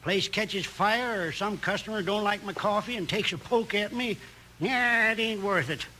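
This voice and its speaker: An actor playing a fed-up, folksy American small-town cafe owner and old prospector in a 1950s radio western. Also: male voice, high-pitched, normal speed, lightly acted. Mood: grumbling. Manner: folksy, grumbling, wheedling. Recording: noisy vintage radio recording with clicks.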